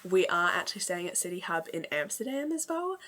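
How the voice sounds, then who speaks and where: A woman's voice: fairly low pitch, sing-song, fairly quick, bright, forceful tone. A young woman talking casually to her camera as a travel vlogger, rambling with lots of fillers. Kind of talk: casual vlog talk to camera. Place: travel spots and a hotel pod.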